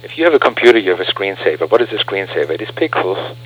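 A man's voice: low voice, big pitch swings, very fast, husky, no echo, dull audio. An earnest man, a guest on a radio interview, explaining his views through rhetorical questions. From Austria.

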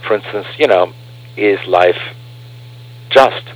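A man's voice: on the low side, slow, poor audio. An earnest man, a guest on a radio interview, explaining his views through rhetorical questions. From Austria.

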